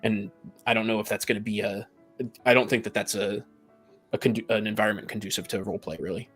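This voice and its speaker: A man's voice: lowish voice, steady tone, fast, a bit bright, slightly rough, faint music behind. A talkative man in a casual group chat, stammering and repeating the starts of his phrases. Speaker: tabletop game master, podcast guest.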